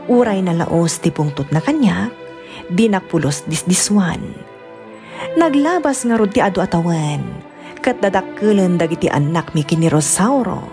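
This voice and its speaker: Subdued wife telling her story. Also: fairly low pitch. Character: weary wife narrating her letter of hardship. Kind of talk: first-person dramatic narration.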